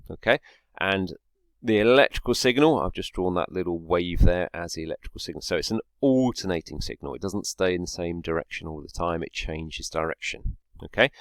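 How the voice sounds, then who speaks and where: A man's voice: low-pitched, dry recording. A man teaching a science lesson, explaining step by step and often checking in with a short tag word. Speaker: physics teacher.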